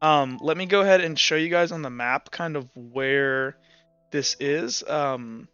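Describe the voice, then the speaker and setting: A man's voice: on the high side, bright, forceful tone, highs cut off. A man running a tabletop game as game master, explaining the scene in a loose, rambling way. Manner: rambling, full of fillers.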